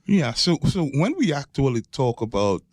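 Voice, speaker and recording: A man's voice: mid-range voice, sing-song, moderate pace, a little mellow. A man in his forties with a Jamaican accent, explaining conversationally as an expert guest and often checking in with "right?". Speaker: guest expert, geology professor. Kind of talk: conversational explaining in a podcast interview.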